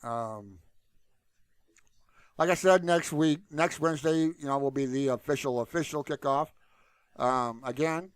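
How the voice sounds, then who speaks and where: A man's voice: medium pitch, clear, resonant tone, dry recording. A man hosting his own channel, talking to viewers in a casual, unscripted ramble peppered with "you know". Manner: informal and unscripted, repeatedly saying "you know". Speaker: YouTube channel host.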